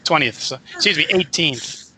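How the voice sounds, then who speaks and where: A man hosting a student radio show, talking in a loose, rambling way and correcting himself as he goes. His voice is very bright and forward. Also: fairly high pitch, expressive, normal speed, slight background noise. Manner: chatty, rambling, self-correcting. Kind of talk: casual radio interview.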